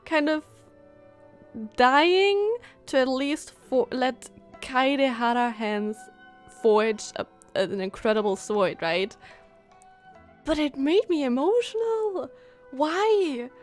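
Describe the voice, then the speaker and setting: A woman game streamer giving casual live reactions, thinking aloud in an expressive, halting way. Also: high voice, soft background music.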